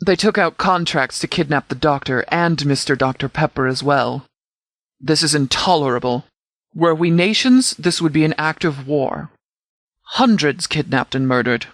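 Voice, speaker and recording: A man playing a stern, composed sheriff in a video game, speaking with formal, clipped military authority in a slightly higher voice. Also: fairly bright tone, no echo. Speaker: commanding officer. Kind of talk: scripted video game dialogue.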